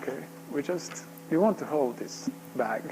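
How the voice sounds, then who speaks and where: A man's voice: a little high, expressive, normal speed, background noise. Joking male speaker, native Swedish speaker. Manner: joking, self-deprecating, casual. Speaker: conference speaker. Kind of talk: conference Q&A. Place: conference hall.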